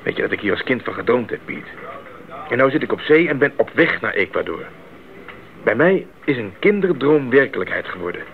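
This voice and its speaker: Eager young missionary in old radio play, earnest, lively pitch, thin narrow sound w bit of noise. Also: male voice, fairly quick, vocal fry. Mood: fervent. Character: eager young male missionary and former boxer, idealistic. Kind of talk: 1980s radio drama acting. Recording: narrow-band 1980s radio recording, faint noise.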